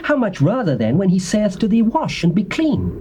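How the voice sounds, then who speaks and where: A man's voice: high-pitched, wildly swooping pitch, normal speed, warm tone, slight background noise. An English actor playing a humble male servant and messenger, speaking in an earnest, pleading way.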